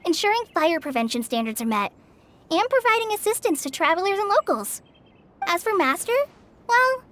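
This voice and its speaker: A woman's voice: very high voice, fairly bright tone, full character voice. A woman voicing an earnest, eager young trainee forest ranger in a video game, with a light youthful voice. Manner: earnest, helpful, explanatory. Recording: music behind the voice.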